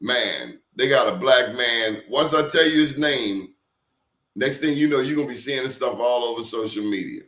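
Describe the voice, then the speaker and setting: A man's voice: medium pitch, expressive, moderate pace, fairly bright tone, roomy sound. A man hosting an interview show, telling stories in an emphatic, preachy way. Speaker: show host. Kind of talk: casual interview talk.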